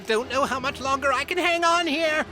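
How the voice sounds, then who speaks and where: Female warrior actress, fantasy audio drama. High, defiant, taunting voice under loud music. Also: normal speed, lightly acted. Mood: strained. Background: loud battle music.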